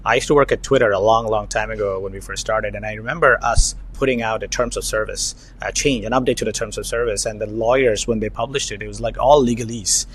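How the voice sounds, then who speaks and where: A man's voice: fairly low pitch, steady tone, quick pace, hardly any pauses, slightly rough, poor audio. A man hosting a podcast, asking long, hesitant questions with many fillers. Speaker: podcast host, investor. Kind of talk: interview questions, conversational.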